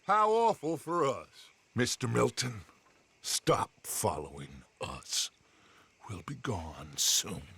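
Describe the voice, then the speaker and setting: A man's voice: mid-range voice, sing-song, slow pace, creaky, a bit bright, breathy edge, heavily acted. An American man playing a charismatic, grandiose middle-aged outlaw gang leader in a Western game, theatrical and sardonic. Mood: mocking, theatrical. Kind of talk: dramatic video game cutscene acting.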